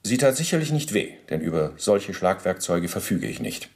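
A former SS officer giving testimony in a radio drama. The voice is low, and the wording is stiff officialese. He justifies himself in pedantic detail and stays formally deferential to his interrogator. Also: sing-song, moderate pace, gravelly, exaggerated voice. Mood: self-justifying, precise. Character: male former SS officer under interrogation, self-justifying bureaucrat. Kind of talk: radio play, testimony monologue.

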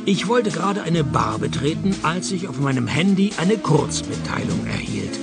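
A wry private detective from a radio play. His voice sits fairly high with a clear fry in it. He delivers narration and retorts sardonically, with music behind him. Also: huge pitch swings, moderate pace, full character voice. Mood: dry narrating. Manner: dry, sardonic. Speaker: lead actor and narrator.

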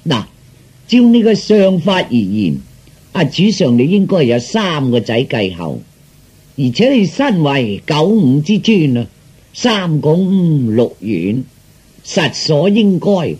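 A man playing a scheming, flattering royal adviser in a comic audio story, speaking formally and ingratiatingly like an orator. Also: on the high side, slow pace, husky, exaggerated voice. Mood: flattering, persuasive. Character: scheming, flattering male military adviser to emperor.

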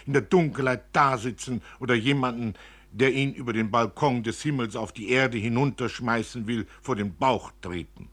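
A man giving an expressive reading of literary prose, as an author reading from his own novel. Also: mid-range voice.

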